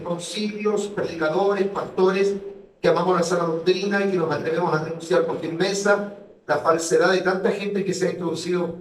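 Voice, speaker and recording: Solemn man from Chile, echoey and distant. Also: sits high. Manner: solemn, measured prayerful delivery. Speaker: guest evangelist pastor. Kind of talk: radio guest talk and spoken prayer. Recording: roomy echo, voice distant from mic.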